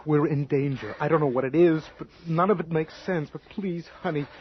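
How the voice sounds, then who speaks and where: An actor playing a worried, domineering husband in an old-time radio drama, insistent and prone to stammering. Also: male voice, fairly high pitch, fairly quick, lightly acted. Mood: forceful, desperate. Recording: muffled, noisy old radio broadcast.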